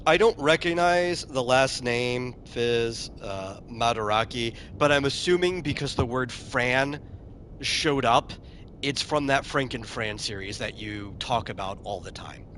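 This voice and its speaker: A man's voice: mid-range voice, animated, a little slow, fairly bright tone, a little hiss or hum. A man streaming a game, chatting casually and answering his chat, often repeating a word as he starts a sentence. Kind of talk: casual commentary while playing a game.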